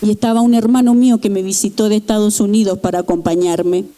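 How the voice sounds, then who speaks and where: A middle-aged woman from Chile, giving an earnest, halting personal testimony in church with pauses and restarts. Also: mid-range voice, steady tone, dark tone. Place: church sanctuary.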